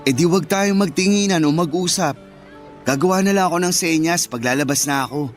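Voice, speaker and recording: A man acting a frustrated young fast-food worker and devoted boyfriend in conversational, protesting radio drama dialogue. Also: on the high side, faint music behind, lightly acted.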